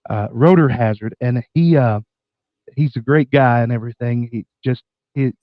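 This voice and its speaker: A man with a scratchy, bronchitis-worn voice, casually hosting a live stream chat in a folksy, halting way. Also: medium pitch, no echo, dull audio.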